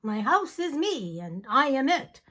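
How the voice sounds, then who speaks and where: Woman reading as a calm, contented storybook man, gentle, slow, lilting. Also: sits high, exaggerated voice. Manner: gentle, unhurried, matter-of-fact.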